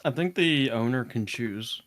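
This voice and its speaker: A man's voice: mid-range voice, expressive, unhurried, a bit bright. A man chatting casually with gaming friends, hesitant and agreeable, hedging his statements.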